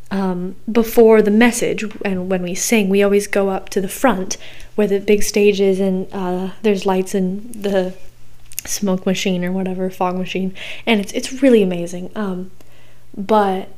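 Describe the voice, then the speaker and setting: A young woman with a hoarse, worn-out voice, hosting a podcast in a rambling, chatty way full of fillers. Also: medium pitch, darkish tone. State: hoarse, recovering from losing her voice. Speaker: podcast host. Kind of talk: casual solo podcast chat.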